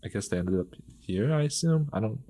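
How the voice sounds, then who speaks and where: A man's voice: lowish voice, expressive, a little fast, dark tone. A young man, a podcast guest, talking casually and rambling with many fillers and frequent laughs. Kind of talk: casual conversation.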